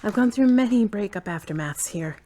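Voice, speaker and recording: A woman's voice: medium pitch, animated, fairly quick, warm tone, close to an everyday voice. A woman playing a frank, caring friend with a conversational, matter-of-fact way of talking, in an audio drama. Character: frank, caring woman, tired but gently teasing. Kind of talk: hobby audio drama acting.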